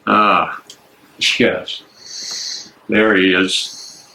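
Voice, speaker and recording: Man acting slow, menacing Nazi prison major. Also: low voice, level tone, creaky, pushed and bright, slight background noise, heavily acted, rough recording. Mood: mocking menace. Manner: barking, mocking, deliberate. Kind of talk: dramatic reading of a stage play.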